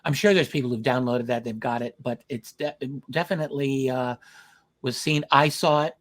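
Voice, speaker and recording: A man hosting a conversational interview, speaking in a thoughtful, halting way with repeated words. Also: mid-range voice. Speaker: host interviewing a documentary filmmaker.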